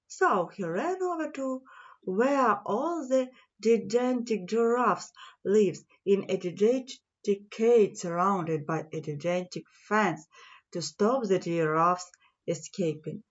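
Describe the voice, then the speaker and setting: Slow-reading woman telling a children's story. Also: mid-range voice, dull audio. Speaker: children's audiobook reader. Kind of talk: fairy-tale narration for children.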